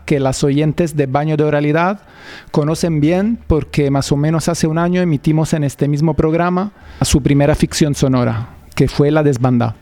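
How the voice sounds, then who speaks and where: A man's voice: fairly high pitch, dark tone, dry recording. A man presenting a radio show and interviewing in a conversational style.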